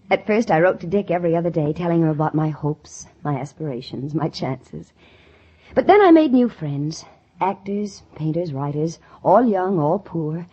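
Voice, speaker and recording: Earnest young woman with big dreams, old radio drama, lowish husky voice, muffled recording. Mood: reflective. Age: young adult. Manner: dramatic, wistful, emphatic. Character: earnest, ambitious young country woman who dreams of writing. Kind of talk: 1940s radio drama acting and first-person narration.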